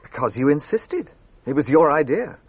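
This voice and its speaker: An actor playing an earnest, gallant gentleman who narrates and acts in a radio drama. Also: a little high, very animated, moderate pace, breathy edge, dry recording. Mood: anxious, solicitous.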